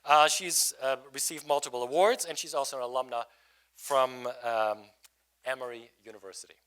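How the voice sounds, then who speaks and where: A man moderating a panel, introducing guests and asking questions in a relaxed, loose way with many fillers. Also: medium pitch, normal speed. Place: event panel before a student audience. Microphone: microphone at a panel table.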